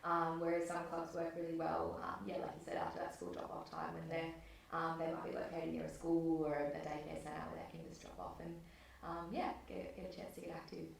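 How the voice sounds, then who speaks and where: A woman's voice: sits low, completely flat delivery, a little fast, creaky. A young woman from northern New South Wales, answering interview questions in a friendly, hesitant way with many ums. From northern New South Wales, Australia. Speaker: guest, football program coordinator. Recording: echoey, distant from the mic.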